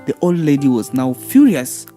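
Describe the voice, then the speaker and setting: A woman's voice: a bass voice, sing-song, normal speed. A woman narrating a scripted fairy tale for children as a storyteller. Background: soft background music.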